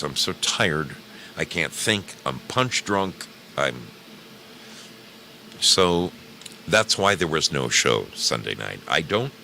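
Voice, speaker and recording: Very deep, gravelly male radio host. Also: bright, forceful tone, a little hiss or hum. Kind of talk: casual live radio monologue to listeners.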